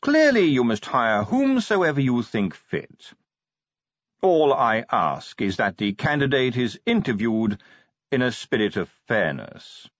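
Man playing a suave, measured city ruler. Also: medium pitch, sing-song, dry recording, lo-fi sound. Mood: smoothly insistent. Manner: precise, formal, smooth.

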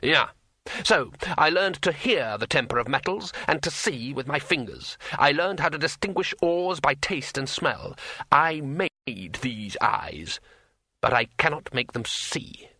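A man's voice: a little high, lively intonation, measured pace, bright, forceful tone, no room sound, heavily acted. A man voicing a proud, blunt, stoic master craftsman in an audiobook, speaking in an emphatic, declamatory way. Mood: bitterly matter-of-fact. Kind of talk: audiobook character voice.

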